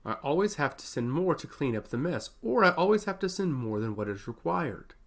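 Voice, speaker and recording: A man's voice: on the high side, very animated, brisk, fairly bright tone, exaggerated voice. A man voicing a coldly pragmatic, dutiful commander in a fantasy audiobook, solemn, formal and deferential to his lord. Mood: frustrated apology.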